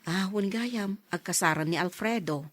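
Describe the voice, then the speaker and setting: A woman's voice: medium pitch, normal speed, lightly acted. Actress as a frank, nosy woman friend. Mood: chiding. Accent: Ilocano. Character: nosy, frank woman friend nudging Brigida toward romance. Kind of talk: radio drama acting.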